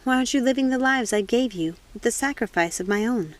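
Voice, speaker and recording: An earnest young witch from a storybook, voiced by a woman reader. She speaks in a fairly high voice with a tender, questioning manner. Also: fast talker, close to an everyday voice. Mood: puzzled, insistent.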